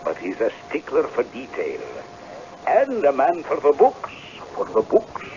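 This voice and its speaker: An actor playing a blunt, loyal, fearful old Scottish castle servant in a radio drama. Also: male voice, medium pitch, wildly swooping pitch, measured pace, a little mellow. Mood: gruff, matter-of-fact. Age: older. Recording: dull, noisy old radio recording.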